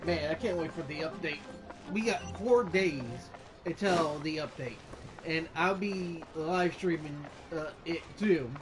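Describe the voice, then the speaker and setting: Male gamer, high-pitched, slow-paced, playful, casual play commentary, loud music behind. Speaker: gamer, channel host.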